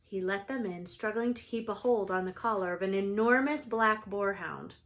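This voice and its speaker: A woman's voice: medium pitch, normal speed, a bit bright. A woman narrating a children's book as a read-aloud storyteller. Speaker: storybook reader.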